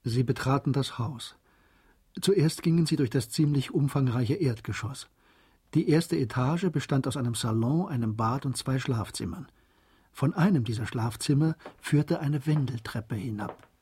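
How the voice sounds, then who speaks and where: An actor as the storyteller of a German radio drama, reading scripted literary narration in a dark, husky voice. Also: male voice, medium pitch, moderate pace. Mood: measured narration. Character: storyteller narrating a classic adventure tale.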